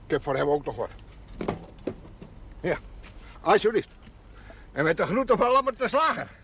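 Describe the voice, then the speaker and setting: An actor playing a kindly, slightly odd village garbage collector who chuckles often and talks in a folksy, mysterious way, from a 1970s television drama. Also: male voice, high-pitched, very animated, normal speed, a bit bright, exaggerated voice. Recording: noisy 1970s TV audio.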